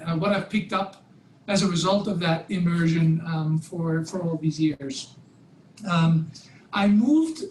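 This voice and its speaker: A man's voice: high voice, echoey room, slight background noise, rough recording. A man in his forties from Belgium, whose first language is Dutch, giving a talk on a video call with many fillers and restarts. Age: 40s. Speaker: guest speaker, hotel company president.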